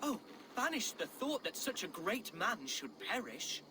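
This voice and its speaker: An actor playing an earnest, meek young nobleman in a video game, with a light voice and florid, apologetic, excitable manners. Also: sits high, a little slow, background noise. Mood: earnest, troubled. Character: earnest young exiled nobleman, meek amateur poet, eager and flustered. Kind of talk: scripted video game drama acting.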